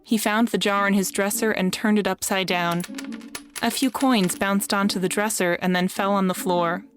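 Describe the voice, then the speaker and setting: A woman's voice: mid-range voice, fairly even intonation, normal speed. A woman reading scripted narration for a children's read-along storybook. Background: music.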